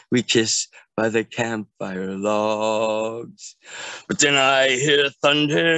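A middle-aged man recites his own lyrics in a lilting, half-sung way, unhurried and drawn out. His voice is smooth and resonant. He is recorded outdoors in a garden on a video call, and the sound is dry and close. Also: on the high side, a bit bright, no echo. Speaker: performer at an open mic. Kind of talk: slow recited song lyrics. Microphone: video call from a handheld device.

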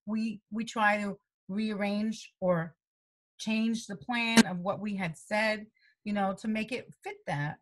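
A middle-aged woman from the United States, a health coach being interviewed over a video call at home, rambling along with many fillers. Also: fairly high pitch. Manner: rambling, lively, many "you know" fillers, frequent laughs. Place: small room at home, indoors. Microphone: webcam on a video call.

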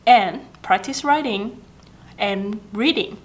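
A woman's voice: medium pitch, slow. Young Vietnamese-accented woman giving tips. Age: 20s. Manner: chatty, gestures often, frequent fillers and self-corrections. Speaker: vlogger giving language-learning tips. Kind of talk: casual talking-head advice. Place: small indoor office with a plant.

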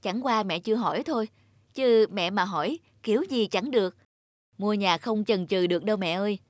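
A woman's voice: medium pitch, normal speed, dry recording, clean studio sound, lightly acted. A woman voicing a scheming, persuasive young adult son in a cartoon. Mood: persuasive, eager. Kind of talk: cartoon dubbing.

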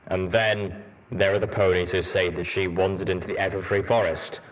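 Man playing a very deep, grave armored pony soldier. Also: level tone, normal speed, crowd noise, natural voice, low-quality recording. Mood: grave, matter-of-fact. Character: grave, blunt male armored pony soldier, world-weary.

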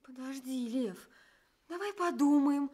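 A woman's voice: high-pitched, a little slow, mellow. A woman playing a brave, sensible, caring little girl in a children's radio play, speaking earnestly and coaxingly.

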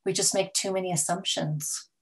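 A woman hosting an interview podcast, conversational and halting as she searches for her words. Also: on the low side, steady tone, low-quality recording.

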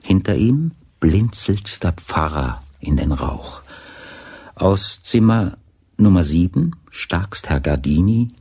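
A man narrating a crime radio play in a deliberate, literary storytelling manner. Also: low voice, lively intonation, vocal fry, mellow, slightly rough, no room sound, exaggerated voice. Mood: measured, observant. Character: measured, observant male narrator of a crime story.

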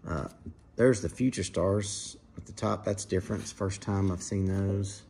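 A man casually commenting to viewers while opening trading card packs at a dining table at home, in a relaxed, chatty way. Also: sits low, normal speed, vocal fry, slight background noise. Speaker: card breaker, channel host.

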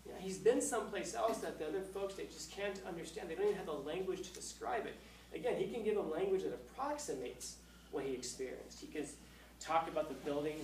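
Man, 50s, high school teacher, lively lecture, distant mic, roomy classroom. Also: slight background noise. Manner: animated, acts out scenes with playful voices. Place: classroom, indoors. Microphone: far camera. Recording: echoey classroom from far off.

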